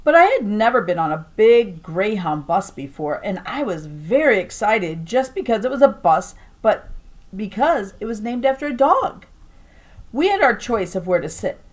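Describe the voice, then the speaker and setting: A woman's voice: medium pitch, sing-song, moderate pace. Woman reading a children's story aloud, emphatically. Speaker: teacher reading aloud to a class. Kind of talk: expressive children's novel read-aloud.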